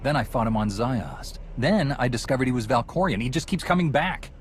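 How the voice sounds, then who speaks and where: An actor playing a resolute, wary Jedi hero in a video game, speaking in an earnest, firm way. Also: male voice, medium pitch, expressive, fairly quick, a little hiss or hum, natural voice. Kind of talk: video game cutscene acting.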